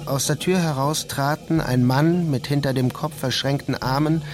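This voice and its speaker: A man narrating a literary radio play in a measured, deliberate manner. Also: mid-range voice, steady tone, over music.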